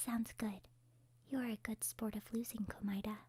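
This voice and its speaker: A woman voicing a calm, gentle, level-headed teenage girl in a whispered, breathy ASMR roleplay. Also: on the high side, normal speed. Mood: gentle, reassuring.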